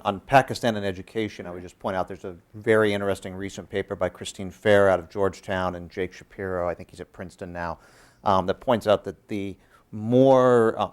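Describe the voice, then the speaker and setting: A man moderating a panel discussion, speaking in a measured and slightly halting way. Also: low-pitched, clean tone.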